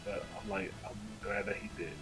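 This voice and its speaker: Slangy man chatting over loud game music. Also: lowish voice, normal speed. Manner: animated, slangy storytelling. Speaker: game livestreamer. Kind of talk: casual chat over gameplay. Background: video game music.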